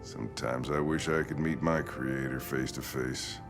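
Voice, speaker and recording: Low gruff american cop guy, middle-aged, blunt and tired, game cutscene w music behind. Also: fairly even intonation, normal speed. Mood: dry, brooding. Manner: blunt, dry, impatient. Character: gruff, world-weary male police lieutenant, blunt and skeptical. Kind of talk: cinematic video game acting. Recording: music under the voice.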